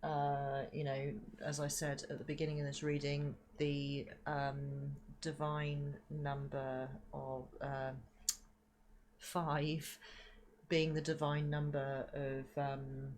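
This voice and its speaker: Low-pitched woman, sniffly stuffy nose, meandering spiritual reading, halting fillers, unhurried. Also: little pitch movement, a little mellow. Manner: meandering, halting with many fillers, casual swearing. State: stuffy and sniffly from hay fever. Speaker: spiritual reader. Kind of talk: rambling spiritual reading to viewers.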